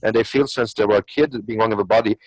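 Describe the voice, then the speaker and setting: A man's voice: lowish voice, fast talker, darkish tone, dry recording, low-quality recording. A man who is not a native English speaker, arguing his opinions in an unscripted discussion in a rambling, repetitive way. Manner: rambling, repetitive argument with hypothetical examples. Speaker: panelist.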